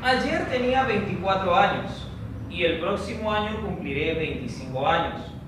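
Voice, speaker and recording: A man teaching a recorded online training lesson for teachers, explaining a logic problem slowly and step by step. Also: sits high, expressive. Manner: slow, methodical, explains step by step. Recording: echoey room, distant mic, noisy.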